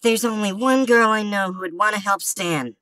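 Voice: mid-range voice, normal speed, pushed and bright, no room sound, lightly acted. A performer voicing a worried, loyal cartoon schoolboy in an amateur comic dub, earnest and anxious.

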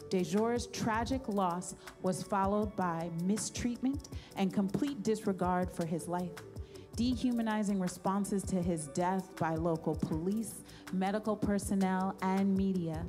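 A woman reading a scripted narration for a video in a measured, earnest way. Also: medium pitch, dark tone.

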